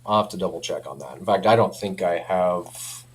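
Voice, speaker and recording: A man speaking as a company representative in a casual product chat, informal and hedging, quick to chuckle. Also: low voice, husky, rough recording.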